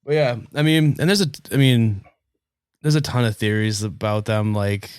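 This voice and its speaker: A man's voice: medium pitch, moderate pace, smooth, dry recording, clean studio sound. A congested man hosting a casual podcast, talking informally and swearing freely. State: congested with a cold. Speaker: podcast host.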